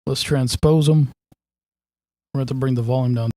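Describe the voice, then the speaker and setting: A man's voice: mid-range voice, fairly even intonation, a little fast, dark tone, no echo. A man casually talking through a song mix as he works, thinking aloud in a loose, offhand way with lots of fillers. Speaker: mixing engineer. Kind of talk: casual walkthrough of mixing a song.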